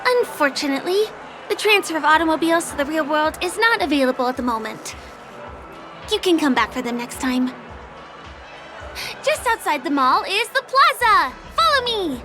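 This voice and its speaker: An actress voicing a friendly young woman who is a guide and stage performer, speaking gently and eager to please. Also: high-pitched, very animated, normal speed. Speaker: game character. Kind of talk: game dialogue voice acting. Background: game music.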